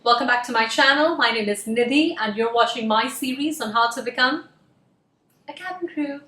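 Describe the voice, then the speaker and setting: A woman's voice: fairly high pitch, moderate pace, pushed and bright, recorded from a distance. A woman from India, a Hindi native speaker, giving earnest casual advice as a cabin crew interview coach, with many "uh" fillers. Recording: roomy echo, voice a bit off-mic.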